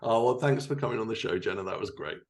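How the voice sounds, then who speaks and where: A man's voice: on the low side, fast. A man hosting a podcast, chatting in an informal, encouraging way with his guest. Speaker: podcast host. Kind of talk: casual interview chat.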